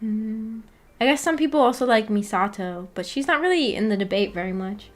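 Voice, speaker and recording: A woman hosting a casual livestream, chatting with viewers in a giggly, playfully teasing and apologetic way, rambling and halting as she goes. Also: medium pitch, expressive. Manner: rambling, halting, filler-heavy talk with frequent "like". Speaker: livestream host. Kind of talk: casual livestream chat with viewers about anime.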